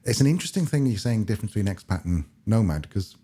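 Very deep husky guy hosting a chat, laid back. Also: quick pace. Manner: relaxed, conversational with fillers. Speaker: podcast host. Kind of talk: casual interview chat.

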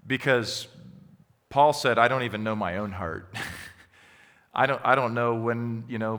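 A man leading a church group session, answering questions in a conversational, gently encouraging way. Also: mid-range voice. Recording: long reverb of a hall.

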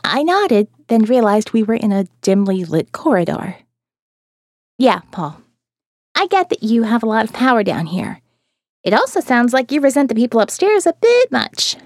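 A woman acting as a wary, stubborn female survivor in an audio-fiction diary, with a fairly high voice and very mobile intonation. She is wry and quick to challenge, recorded dry and close. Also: very animated, no echo. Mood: reflective. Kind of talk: first-person audio fiction narration and dialogue.

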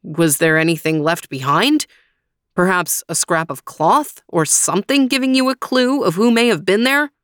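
Calm, measured wise man, as a woman narrator puts him on. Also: on the low side, no echo, studio quality. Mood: probing. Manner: measured, counseling.

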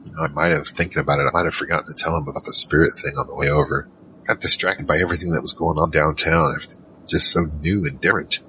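A man's voice: rumbling low, fairly even intonation, racing, background noise. A man playing a wary team member who narrates an audio drama in a rambling, wry, conversational way. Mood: wry storytelling. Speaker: narrator and lead character.

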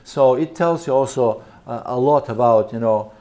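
A man in his fifties from Bulgaria, with a Bulgarian accent, giving a seminar talk as a missionary, pausing often on fillers and repeating words. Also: mid-range voice, warm tone. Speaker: missionary and church planter speaking at a seminar. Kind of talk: seminar presentation. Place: seminar room with an audience.

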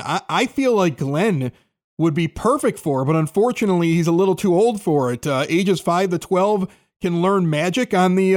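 A man's voice: fairly high pitch, a little mellow. A man hosting a radio show, interviewing guests in a casual, conversational style.

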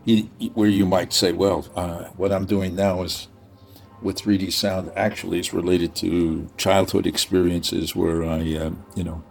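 A man's voice: low-pitched, little pitch movement, measured pace, gravelly, a little mellow, soft background music. A man hosting an interview podcast, speaking as presenter and interviewer. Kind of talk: scripted introduction, then unhurried interview talk.

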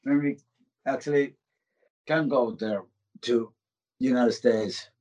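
A man with a Finnish accent, a band member guesting on a podcast, speaking halting English and searching for words. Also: mid-range voice, darkish tone. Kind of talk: casual interview chat.

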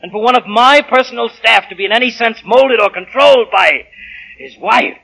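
An actor playing a stern, pompous company boss who speaks formally and gets easily flustered, in 1940s radio drama style. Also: male voice, very high-pitched, normal speed, vocal fry, sharp tone, breathy edge, heavily acted. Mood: stern, solemn. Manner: formal, pompous, hesitant when cornered. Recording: muffled 1940 radio broadcast recording.

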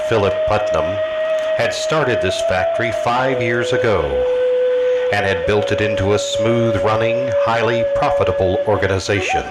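Man playing a low, ominous radio mystery narrator. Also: low-quality recording. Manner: ominous, deliberate, theatrical. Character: sinister omniscient night-walking male narrator of crime tales. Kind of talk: 1940s radio mystery acting.

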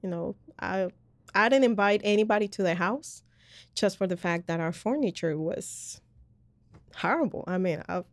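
A woman's voice: medium pitch, lively intonation, normal speed, no echo. A woman from Colombia, hosting a podcast and sharing her faith story in a rambling, earnest way with lots of fillers. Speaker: podcast host. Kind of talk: personal faith testimony in casual chat.

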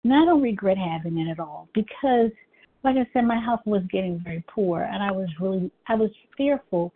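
A middle-aged woman with a high, girlish voice, telling her personal recovery story at a meeting in an earnest, halting way with many restarts. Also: a little hiss or hum. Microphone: phone call. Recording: tinny phone line.

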